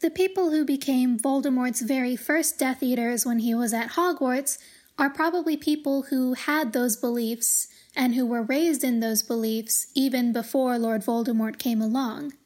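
High-pitched woman hosting a podcast. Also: normal speed, darkish tone. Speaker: podcast host. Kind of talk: scripted commentary on a fantasy book series.

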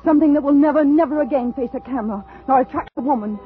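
An actress playing an unstable woman telling a story in an intense, halting, dramatic 1950s radio style. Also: high-pitched, narrow, tinny audio, close to an everyday voice. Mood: fevered, vengeful. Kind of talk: 1950s radio suspense acting. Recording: thin vintage radio recording with music.

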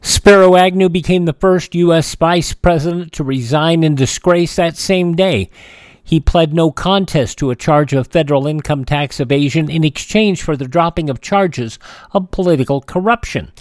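A man's voice: fairly high pitch, dry recording. A man hosting a radio show, reading this-day-in-history items with chatty asides and frequent uh fillers. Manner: chatty, fills pauses with uh. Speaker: radio host.

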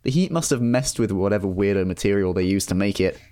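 A man's voice: fairly low pitch, fast talker, warm tone. A man hosting a casual podcast, steering the conversation and reading out listener messages. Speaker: podcast host.

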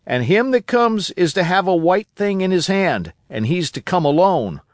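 A man voicing an eager, cunning old marooned sailor who talks in a rough rustic dialect. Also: high voice, big pitch swings, moderate pace, no echo, studio quality, pitched above the performer's own voice. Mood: insistent. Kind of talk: audiobook character voice.

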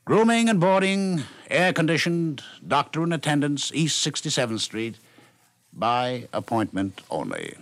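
A man's voice: fairly high pitch, big pitch swings, unhurried, a bit bright, no echo. An American man narrating a scripted 1950s radio story in a wry, polished way.

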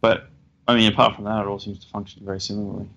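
A man co-hosting a casual podcast, talking hesitantly with many fillers and a self-deprecating manner, feeling low on energy. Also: level tone, racing, vocal fry, a bit bright. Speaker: podcast co-host. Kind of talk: casual chat. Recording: dull lo-fi sound.

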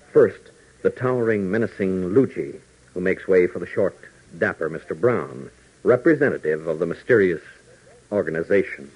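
A man's voice: deep voice, a little mellow, breathy edge. An American man narrating and announcing in a dramatic 1940s radio style. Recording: muffled old 1940s radio recording.

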